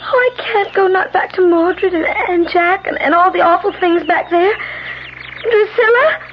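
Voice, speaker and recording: An actress playing an anguished, desperate young woman in a 1970s radio drama, with breathless, halting, emotional delivery. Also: very high voice. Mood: distraught. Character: anguished, desperate young woman trapped among hated men. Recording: noisy, muffled old radio broadcast recording.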